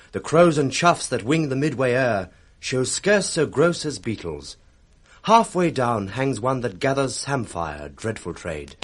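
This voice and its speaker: An actor playing a loyal, urgent young nobleman in disguise, speaking vivid theatrical verse with a British accent in 1960s radio Shakespeare. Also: mid-range voice.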